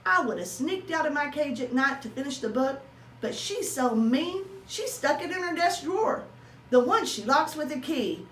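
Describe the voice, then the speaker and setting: A woman voicing an excitable, self-pleased young male hamster in a storybook, exclamatory and dramatic. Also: sits high, normal speed, distant mic. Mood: indignant. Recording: roomy echo with faint noise.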